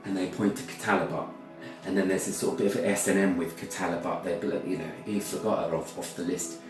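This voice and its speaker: A British man giving a rambling, informal talk as a storyteller, halting and repeating words, with theatrical, opinionated asides. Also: sits low, fairly even intonation, over music. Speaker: ballet storyteller and performer. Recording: very echoey room, far from mic.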